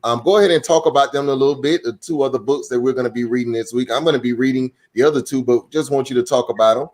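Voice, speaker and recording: A man's voice: medium pitch, quick pace, darkish tone. A man, a pastor, hosting a story time and chatting with a guest, encouraging and speaking to children directly. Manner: friendly, encouraging, addresses kids directly. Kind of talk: casual conversation.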